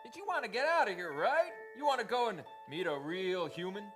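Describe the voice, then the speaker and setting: A woman's voice: mid-range voice, big pitch swings, brisk, soft background music, close to an everyday voice. A woman playing a cool, cocky bat sidekick with an American accent and slangy drawl in improvised comedy. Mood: encouraging. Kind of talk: improvised comic stage acting.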